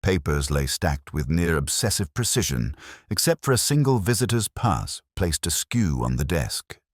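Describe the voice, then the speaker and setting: A melancholy police detective, acted by a man, recounts his memories for an audio drama. The voice is extremely low and the sound is dry. The delivery is measured, literary and brooding, turned inward in reflection. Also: sing-song, dry recording. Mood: brooding, watchful. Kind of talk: slow literary first-person audio drama narration.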